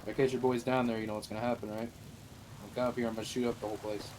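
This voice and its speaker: Fast-talking blunt man over noisy game chat. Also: mid-range voice, level tone. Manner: blunt, swearing, bossy. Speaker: online roleplay game player. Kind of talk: casual gaming voice chat, half in character.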